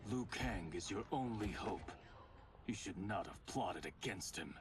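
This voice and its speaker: A man playing a stern, embittered ninja warrior in a video game, speaking gravely and formally with reproach. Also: lowish voice, lively intonation, unhurried, noisy background. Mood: bitter reproach. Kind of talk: video game cutscene acting. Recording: loud game music behind voice.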